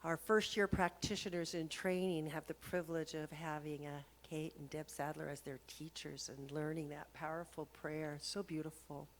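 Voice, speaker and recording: A woman's voice: sits low, steady tone, normal speed, hardly any pauses, poor audio. Grateful woman minister speaking. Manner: warm, grateful. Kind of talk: church service remarks.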